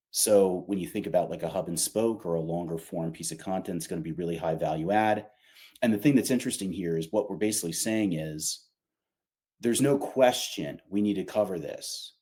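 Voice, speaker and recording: A man's voice: low-pitched, moderate pace, mellow, husky. A man talking informally and emphatically, explaining his company's product in a conversational way with casual asides.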